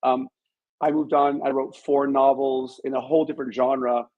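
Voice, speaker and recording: A man's voice: mid-range voice, flat delivery, normal speed, narrow, tinny audio. Male interview guest, author type, modest and reflective, conversational storyteller.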